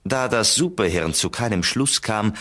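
Deep-voiced male storyteller. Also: animated, normal speed, fairly bright tone. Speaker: narrator. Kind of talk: scripted children's story narration.

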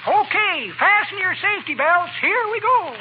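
An actor playing a chipper old elevator operator in sing-song 1940s radio comedy style. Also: male voice, extremely high pitch, lively intonation, normal speed. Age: older. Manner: sing-song rhyming calls. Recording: muffled, very noisy old radio recording.

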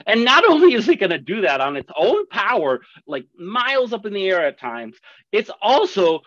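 A man's voice: high-pitched, sing-song, moderate pace, fairly bright tone, dry recording. A young man teaching an online birding class over slides, chatty and enthusiastic, with rhetorical questions. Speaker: birding instructor. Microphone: webcam video call. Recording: dull lo-fi video call audio.

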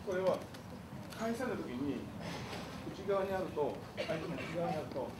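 A man's voice: on the high side, lively intonation, fast talker. Man explaining judo technique from afar. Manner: short explanatory statements between demonstrations. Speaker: judo instructor. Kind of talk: technique demonstration. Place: large indoor dojo. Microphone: far camera. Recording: distant voice in noisy room.

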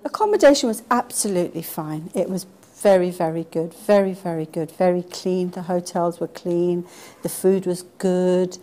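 A woman's voice: lowish voice, warm tone. A young woman from London, speaking emphatically and enthusiastically in a testimonial. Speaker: tour participant. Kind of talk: testimonial interview.

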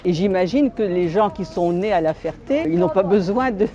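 An elderly woman, talking through a face mask, gently and hesitantly answering in an outdoor market interview. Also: mid-range voice, moderate pace, muffled recording. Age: older. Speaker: resident interviewed.

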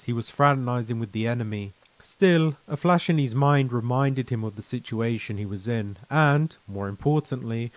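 A man reads a written short story as its narrator. His voice sits at a medium pitch, and the recording is dry with little room sound. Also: darkish tone, dry recording. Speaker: narrator and author.

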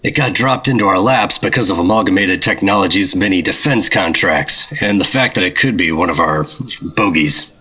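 An actor playing a terse, deadpan 1950s government secret agent in a noir radio drama. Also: male voice, low voice, normal speed, breathy edge, full character voice. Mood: cool, serious.

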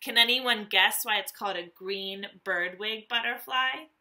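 A woman, reading a nonfiction book aloud to young children as a teacher, animated and carefully enunciated. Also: mid-range voice, a little slow. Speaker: elementary school teacher.

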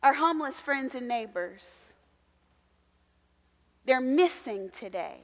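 A woman in her thirties, a pastor preaching a sermon in a large church sanctuary with slow, emphatic repetition. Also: high voice, animated. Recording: long church hall reverb.

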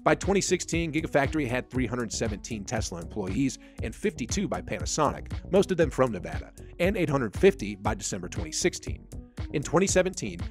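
A man reading a scripted narration, in a flat, even delivery of a dense factual text. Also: mid-range voice. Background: faint background music.

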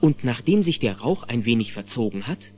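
A man's voice: mid-range voice, very animated, normal speed, darkish tone, slight background noise. A man narrating a children's audio drama in a dramatic, playful scripted storytelling style. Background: faint music.

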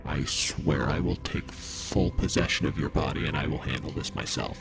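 A man's voice: very deep voice, steady tone, normal speed, vocal fry, music in the background. A man playing a menacing, possessive spirit voice that speaks inside someone's head in a commanding, threatening manner.

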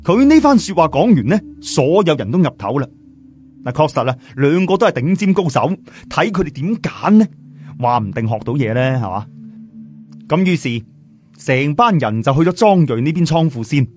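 Male narrator. Higher-pitched, very animated oral storytelling, chatty, music underneath. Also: normal speed. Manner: colloquial, chatty, rhetorical questions to listeners. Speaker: audiobook narrator. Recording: music behind the voice.

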